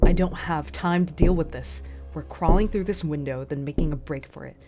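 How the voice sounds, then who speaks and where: Stern female captain acted by a woman: low-voiced, curt, commanding and impatient, for a radio drama with music underneath. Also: moderate pace, warm tone, close to an everyday voice. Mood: tense, firm.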